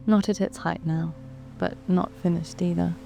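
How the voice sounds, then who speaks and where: A woman with a British accent narrating a guided sleep meditation in a soft, calm, soothing way, with long measured pauses. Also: lowish voice, creaky. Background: background music.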